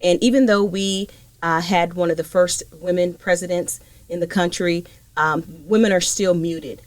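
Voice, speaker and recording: Woman from liberia, panel guest, earnest, a bit low, dry sound. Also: steady tone, normal speed, no echo. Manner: earnest, emphatic. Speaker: podcast guest, executive. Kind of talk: casual panel conversation.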